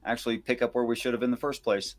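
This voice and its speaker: A man giving informal scripture commentary and reading verses aloud in a measured, explanatory way. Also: mid-range voice, level tone. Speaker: Bible discussion co-host.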